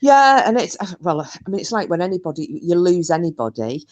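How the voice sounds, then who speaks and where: Low-voiced chatty woman. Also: sing-song, fairly quick, a little mellow. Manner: chatty, many fillers and restarts. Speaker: podcast co-host. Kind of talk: casual chat about a paranormal case.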